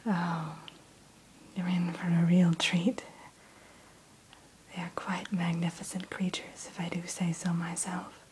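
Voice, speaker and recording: A woman in an ASMR roleplay who whispers gently and courteously as the keeper of a dragon hatchery. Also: lowish voice, flat delivery, slow, pauses often, slight background noise, poor audio.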